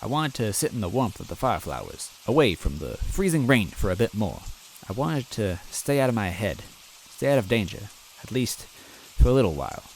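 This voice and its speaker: A man with a fairly low voice narrating a fan story in first person. He reads in an earnest, timid, self-deprecating way, with faint rain sounding in the background. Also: very animated, fairly quick, slight background noise, rough recording. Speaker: story reader.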